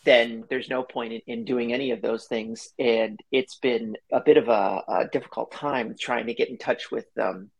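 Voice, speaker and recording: Male guest, slightly husky, easygoing storyteller on a remote call. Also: mid-range voice, steady tone, rough recording. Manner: quick, chatty storyteller who laughs easily. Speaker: podcast guest, company founder. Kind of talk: casual interview talk.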